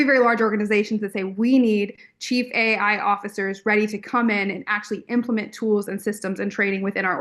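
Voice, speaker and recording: A woman coach co-hosting a live online community call over video, speaking in an upbeat, effusive way and warmly greeting attendees by name. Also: mid-range voice. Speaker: coach and co-host.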